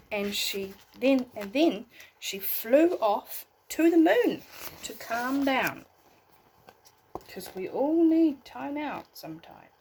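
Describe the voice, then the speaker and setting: A woman reading a bedtime story aloud in an expressive way, as if talking to young listeners. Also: sits high, drawn-out words, long pauses, a little hiss or hum, low-quality recording. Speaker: storybook reader.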